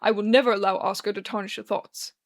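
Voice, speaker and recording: A woman voicing a stern, pious, fatherly male religious mentor in a dramatic story, earnest and forceful. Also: mid-range voice, steady tone, fast, natural voice. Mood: sincere.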